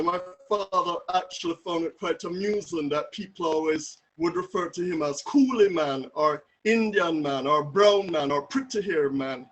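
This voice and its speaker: A man giving a tribute to his late father over a video call, reminiscing in a relaxed, conversational way with frequent fillers. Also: a little high, rough recording. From Jamaica. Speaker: son giving a memorial tribute. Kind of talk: personal spoken tribute. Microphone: video call mic.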